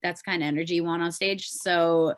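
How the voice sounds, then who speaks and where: A woman's voice: fairly low pitch, flat delivery, brisk, dry recording, clean studio sound. A Canadian woman chatting casually and informally as a podcast guest, peppering her talk with "like". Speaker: podcast guest, pop singer. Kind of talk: casual chat.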